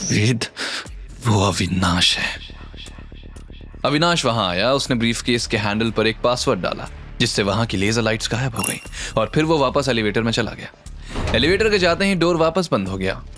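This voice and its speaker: A man's voice: mid-range voice, a bit bright. A man narrating a scripted story in a dramatic audio series style. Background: background music.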